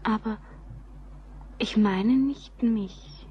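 A troubled young bride in a radio drama. She speaks slowly and hesitantly in a hushed, mid-pitched voice, with faint noise behind her. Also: muffled recording. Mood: anxious, searching. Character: troubled, soft-spoken young bride of eighteen. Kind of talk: German radio play acting.

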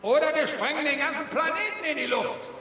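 A trigger-happy space policeman who fancies himself a sensitive soul. His high, bright voice hectors and speechifies with smug self-righteousness. It is a comic radio play, drenched in hall reverb. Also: expressive, a little fast, full character voice. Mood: menacing, gleeful. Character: cocky trigger-happy male space cop posing as sensitive liberal. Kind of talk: comic science-fiction radio drama acting. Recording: echoing hall reverb, music behind.

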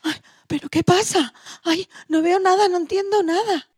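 A woman playing a naive, excitable young male elephant in a children's story, with childlike wide-eyed exclamations. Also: extremely high pitch, normal speed, background noise. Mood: confused, frightened.

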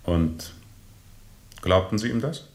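An actor playing a sober, probing questioner in a radio drama, terse and insistent with the witness. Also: male voice, low-pitched, lively intonation, slow, heavily acted. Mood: sober scrutiny.

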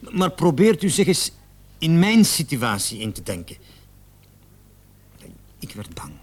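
A man's voice: fairly high pitch, big pitch swings, a little slow. A man acting in a radio drama as a nervous, evasive salesman under questioning, stammering and repeating himself. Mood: apologetic, pleading. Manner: stammering, flustered, repeats words. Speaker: suspect under police questioning. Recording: noisy old radio play recording.

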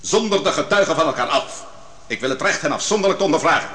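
A man's voice: on the high side, animated, quick pace, bright, forceful tone. An actor playing a bold, righteous man who cross-examines witnesses in a biblical radio drama, commanding and probing. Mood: indignant. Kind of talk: Dutch radio drama acting. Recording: long hall reverb.

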